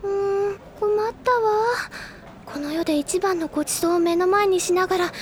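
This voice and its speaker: A woman's voice: very high-pitched, normal speed, slight background noise. An actress playing a dreamy, playful literary schoolgirl in an audio drama, wistful and theatrically sulky. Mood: dreamy longing. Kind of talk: anime-style audio drama acting.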